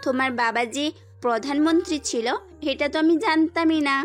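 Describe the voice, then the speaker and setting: A woman voicing a young female doctor, gentle and affectionate, in an amateur audio drama. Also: high voice, fairly quick, lightly acted. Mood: curious. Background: faint background music.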